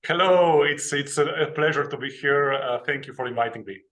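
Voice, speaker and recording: A man's voice: on the high side, fairly quick, fairly bright tone. A man from Slovenia, a guest telling his story in an interview, plain and earnest, with many hesitations. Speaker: guest, author and investing educator.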